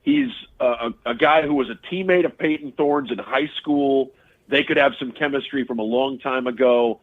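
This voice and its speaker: A man's voice: medium pitch, normal speed. A man, a sports radio broadcaster, giving casual interview analysis of college football, often restarting his phrases as he thinks. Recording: tinny phone line.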